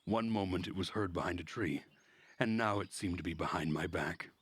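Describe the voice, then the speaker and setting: A man reads visual novel narration aloud in a measured, introspective manner. His voice sits very low and has a husky grain. The sound is close and dry, with no room on it. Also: a bit bright, no room sound. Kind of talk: narration of a story read aloud.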